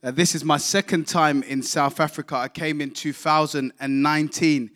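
A man's voice: fairly high pitch, steady tone, moderate pace, fairly bright tone. A man from England preaching a sermon, addressing the congregation directly in a declamatory way and calling on them to respond. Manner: declamatory, calls on congregation to repeat. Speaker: visiting preacher.

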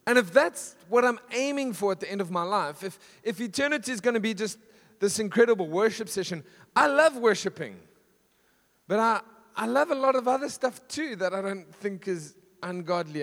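Husky-voiced preacher in a church hall. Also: male voice, high voice, fairly bright tone. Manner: conversational, self-deprecating, builds to emphatic lists. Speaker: pastor. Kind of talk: sermon. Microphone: handheld stage mic.

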